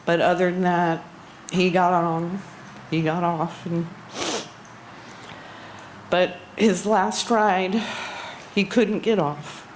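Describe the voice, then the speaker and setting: A woman giving a memorial tribute at a gathering, speaking haltingly with frequent pauses and ums. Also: on the low side, steady tone, background noise. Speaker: eulogy speaker. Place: outdoor barn gathering.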